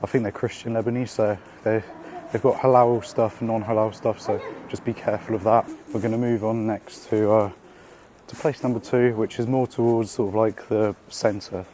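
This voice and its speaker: A man hosting a casual food vlog while out in the city, his voice croaky from being ill, chatty and upbeat with lots of slang and fillers. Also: fairly low pitch, little pitch movement, moderate pace, warm tone, a little hiss or hum. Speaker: food vlogger. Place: out and about in city streets and a small eatery.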